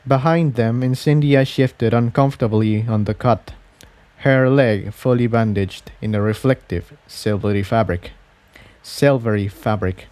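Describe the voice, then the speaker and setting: Slow-paced man narrating a story. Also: medium pitch, a little mellow. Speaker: audiobook-style fan fiction reader.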